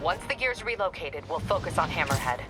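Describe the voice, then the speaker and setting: A woman's voice: lowish voice, lively intonation, fairly quick, over loud music, natural voice. A woman playing a stern, driven police captain in a video game, giving clipped, commanding briefings. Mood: stern, urgent.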